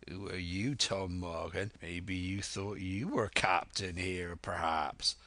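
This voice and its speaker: A man's voice: sits low, steady tone, slow, sharp tone, dry recording, heavily acted. A man voicing a commanding, threatening old pirate sea cook in a drawling for an audiobook. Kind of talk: dramatic audiobook character voice.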